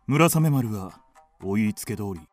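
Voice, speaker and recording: A man's voice: fairly low pitch, animated, unhurried, soft background music. A man playing a guarded, deferential manservant in a period radio drama, answering in humble, formal phrases. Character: deferential manservant, guarded, secretly loyal young retainer.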